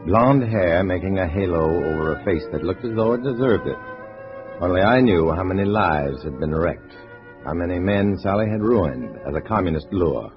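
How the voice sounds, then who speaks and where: An actor playing a terse, dry undercover agent posing as a communist in a 1950s radio drama. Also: male voice, low-pitched, lively intonation, moderate pace, music in the background. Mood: narrating, sardonic. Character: hard-boiled undercover male agent posing as a communist comrade. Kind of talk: 1950s radio drama acting and first-person narration. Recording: muffled vintage radio recording, faint hiss.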